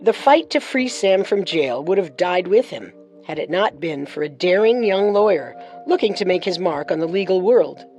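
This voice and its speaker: A woman's voice: lowish voice, animated, moderate pace, a little mellow, soft background music. Woman telling true crime with dramatic flair. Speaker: podcast co-host, narrator. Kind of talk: scripted true-crime narration.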